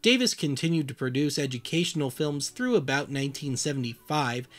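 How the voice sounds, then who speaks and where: A man's voice: medium pitch, expressive, a little fast, a bit bright, faint music behind. A man hosting and narrating a scripted documentary series with a dry, wry manner.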